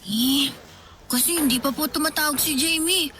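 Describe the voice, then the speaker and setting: A woman's voice: high-pitched, normal speed, sharp tone, a little hiss or hum. A woman voicing a shy, polite teenage boy in a radio drama, bashful and eager as he waits by the phone. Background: music.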